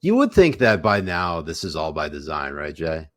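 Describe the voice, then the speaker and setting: A man's voice: extremely low pitch, huge pitch swings, moderate pace, a bit bright, no echo, studio quality. A young man hosting a casual comedy podcast, joking crudely and rambling with restarts. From United States. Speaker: podcast host.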